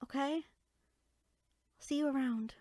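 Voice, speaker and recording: A woman playing a small, bookish, friendly fairy in a roleplay audio drama, speaking in a chatty, expressive, conversational way. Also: high-pitched, slow, dry recording, clean studio sound. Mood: flustered.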